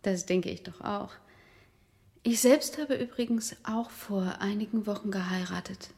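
Radio drama: brave, polite young wife, medium pitch, reverberant space, composed delivery. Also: female voice, measured pace, gravelly, darkish tone. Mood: polite, careful. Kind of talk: German radio drama acting. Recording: long reverberant room sound.

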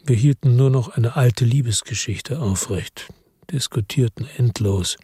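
An actor playing a world-weary police chief, narrating in a slow, measured, tired way in a radio drama. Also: male voice, mid-range voice, mellow, husky, no echo, clean studio sound. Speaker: first-person narrator.

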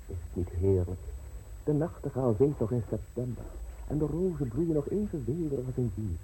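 An actor playing a charming, persuasive young secretary in an old radio drama, wooing with a theatrical delivery. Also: male voice, mid-range voice, animated, fairly quick, warm tone, a little hiss or hum, close to an everyday voice, low-quality recording. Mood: romantic. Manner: smooth, courting, theatrical delivery. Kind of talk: 1950s radio drama acting.

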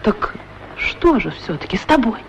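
Pert chatty lady's boots, very lively pitch. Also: moderate pace, vocal fry. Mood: curious, prying. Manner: pert, demanding, teasing, questioning. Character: pair of talking lady's boots, female, chatty, haughty, curious. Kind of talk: 1960s radio play acting. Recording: muffled old radio recording, loud background noise.

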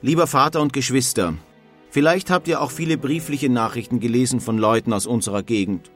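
A man's voice: medium pitch, steady tone, a little fast, soft background music, natural voice. An actor playing a disillusioned 19th-century emigrant man, reading his letters home in formal, old-fashioned wording. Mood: sober, warning. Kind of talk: dramatic reading of historical letters.

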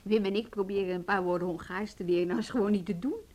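An actress playing an assertive, direct woman with a quick wit in a radio play. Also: medium pitch, a little mellow, natural voice. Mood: light, chatty. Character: assertive, sharp-witted woman pressing her case. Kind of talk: Dutch radio play acting. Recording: noisy old radio drama recording.

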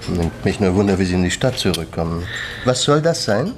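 Radio play casting: suspect country innkeeper, slightly deep, melodic swings, guarded and resigned. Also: male voice, sing-song, a little fast, over music. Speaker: radio drama actor. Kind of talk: German radio crime drama dialogue.

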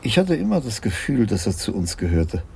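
Deep, gravelly senior police commissioner. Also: male voice, sing-song, a little fast, warm tone, a little hiss or hum. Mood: amused, reflective. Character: senior Dutch police commissioner, dry, amused and reflective. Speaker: actor in a radio play. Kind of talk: 1980s German radio crime drama acting.

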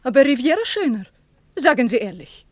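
A woman's voice: sits high, big pitch swings, brisk, dry recording. An actress playing a provocative Soviet resort hostess in her early twenties, speaking broken German. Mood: needling. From North Caucasus, Russia. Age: early 20s. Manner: needling, leading questions. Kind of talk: 1950s radio play acting.

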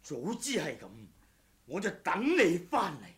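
An actor playing a proud, vengeful martial-arts swordsman in a radio drama, in a theatrical, deliberate manner. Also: sits high, huge pitch swings, a bit bright, heavily acted. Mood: regretful, urgent. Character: vengeful wuxia swordsman, relentless, grimly proud. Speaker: audiobook voice actor.

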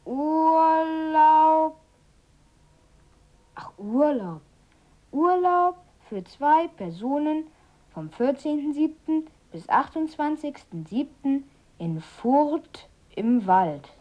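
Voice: sits high, expressive, very slow, lo-fi sound, exaggerated voice. An actor playing a cheeky, curious eleven-year-old boy in a radio drama. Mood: amazed. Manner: exclaiming, blunt, questioning.

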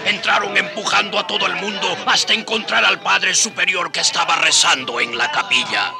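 An actor playing a reminiscing eyewitness who narrates a historical radio drama in a husky voice. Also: male voice, sits high, normal speed, music in the background. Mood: dramatic.

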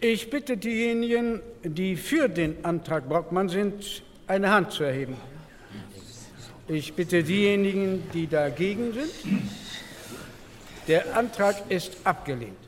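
An actor playing a businesslike 1940s German assembly president, formally running parliamentary procedure. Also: male voice, high-pitched, expressive, slow pace, long pauses. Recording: echoing plenary hall.

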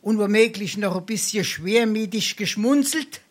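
An actor playing a brazen, silver-tongued sponger and drinker in a Darmstadt dialect stage comedy, speaking South Hessian with mock-pompous flourishes. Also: male voice, sits high, moderate pace. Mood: coaching, sly. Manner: florid mock-pompous speech, theatrical sighs.

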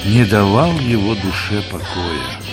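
A man's voice: on the low side, very animated, unhurried. A man narrating a radio play in a scripted storytelling style. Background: music under the voice.

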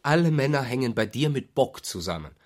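A man's voice: medium pitch, very animated, fairly quick, close to an everyday voice. A man playing a troubled, sceptical husband in a literary radio drama, questioning and uneasy, with reproach in his manner. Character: Walter, troubled, sceptical husband of an eccentric woman.

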